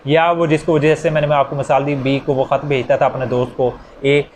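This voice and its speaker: A man with a Pakistani accent giving an explanatory law lecture with examples, mixing in English legal terms that he reads out slowly. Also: medium pitch, little pitch movement, a little mellow. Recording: clear background noise.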